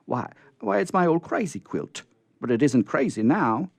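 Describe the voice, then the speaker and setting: A stern, excitable farm woman from an old storybook, as a male reader puts her on. Her speech is emphatic and swoops up and down, with a gravelly edge. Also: fairly high pitch, moderate pace, no echo, pitched above the performer's own voice, full character voice. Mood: amazed.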